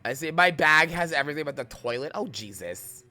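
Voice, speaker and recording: A man's voice: fairly high pitch, lively intonation, brisk, pushed and bright. A man with a New England accent, chatting casually and playfully with viewers while streaming a game, swearing often. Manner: chatty, profane, playful, camp banter. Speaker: streamer playing a video game. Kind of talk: casual livestream chat with viewers.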